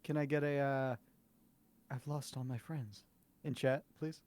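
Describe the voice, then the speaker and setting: A man's voice: mid-range voice, fairly even intonation, unhurried, darkish tone. A man co-hosting a stream with casual, teasing, joking banter. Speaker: stream co-host.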